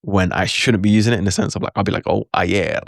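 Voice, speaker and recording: A young man talks to the camera in a casual, chatty way, acting out his own reactions as he goes. His voice sits low, and the recording is close and dry with no room sound. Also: fairly even intonation, fast, creaky, no room sound. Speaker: language learning creator. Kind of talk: casual talking-head advice.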